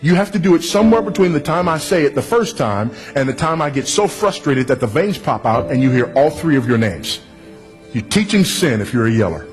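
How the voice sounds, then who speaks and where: A man preaching a sermon in a firm, measured, didactic way. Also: mid-range voice.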